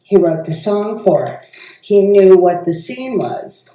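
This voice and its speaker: A woman's voice: medium pitch, lively intonation, unhurried, warm tone. A woman casually explaining and telling anecdotes as a film mentor. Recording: echoey room, distant mic.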